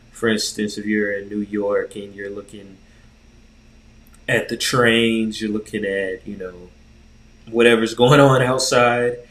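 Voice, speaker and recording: Male podcast co-host, lowish voice, loose slangy talk with hesitant phrasing, echoey room sound. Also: a bit bright. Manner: rambling, hesitant, halting delivery with slang. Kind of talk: casual conversation.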